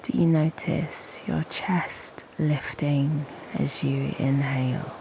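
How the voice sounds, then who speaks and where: A woman guides a meditation in a very slow, soothing delivery with long pauses. Her voice is low and breathy, often slipping into a half-whisper, with a creaky edge. Some ambient noise sits behind her. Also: flat delivery. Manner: gentle, unhurried, with long pauses.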